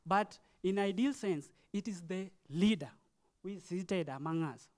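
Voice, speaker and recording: A man with a Kenyan accent giving an impromptu speech at a youth forum, halting and earnest, urging listeners with rhetorical questions. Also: high-pitched. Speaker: forum participant.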